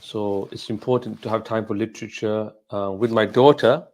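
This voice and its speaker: Low-pitched man, native Gujarati speaker, reflective. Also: steady tone, normal speed, darkish tone. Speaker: Islamic scholar hosting a question and answer session. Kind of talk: casual informal question and answer talk.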